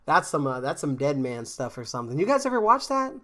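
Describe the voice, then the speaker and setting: A man's voice: on the high side, very animated, quick pace, sharp tone. A man casually chatting with viewers on a game stream, rambling with fillers and restarts, a bit congested from a cold. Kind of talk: casual live-stream chat.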